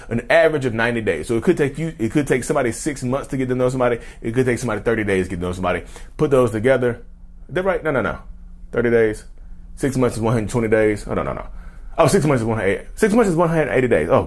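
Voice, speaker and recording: A man talking casually to camera, chatty and rambling, who keeps calling his viewers y'all and wanders off into sidebars. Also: medium pitch. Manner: repeats and restarts, drifts into sidebars. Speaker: YouTuber talking about narcissism. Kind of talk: casual direct-to-camera talk.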